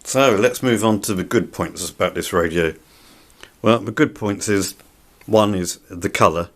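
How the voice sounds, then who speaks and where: A man's voice: fairly low pitch, measured pace. A man giving an unscripted product review in a plain-spoken, somewhat hesitant way. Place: indoors.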